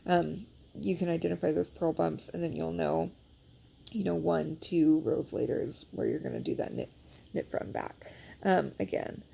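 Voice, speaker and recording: Stuffy-nosed lady explaining knitting fixes, lots of ums, gravelly mellow voice, phone mic. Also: deep voice, moderate pace. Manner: chatty and self-deprecating, many fillers, apologetic. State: congested, sniffling. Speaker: knitting instructor. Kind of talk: casual how-to demonstration. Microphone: phone held nearby.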